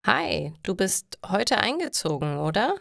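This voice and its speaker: A woman's voice: mid-range voice, sing-song, measured pace, vocal fry, fairly bright tone, no room sound, studio quality, pitched above the performer's own voice, full character voice. A woman voicing a friendly, sociable young male student in a storybook, casual and welcoming.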